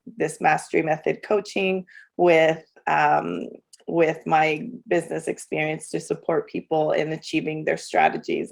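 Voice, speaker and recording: A woman's voice: low-pitched, gravelly. A woman who works as a coach, from El Salvador and raised in Canada, talking casually in a podcast interview with many fillers and restarts. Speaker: guest coach.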